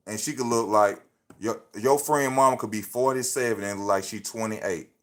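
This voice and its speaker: Slangy man in his thirties preaching live. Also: fairly low pitch. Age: 30s. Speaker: livestream host. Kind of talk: casual motivational live talk. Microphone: phone.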